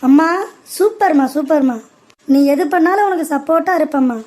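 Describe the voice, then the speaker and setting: A woman voicing a loyal, eager young son in a cartoon parody, supportive and flattering. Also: high-pitched, fairly quick, lightly acted.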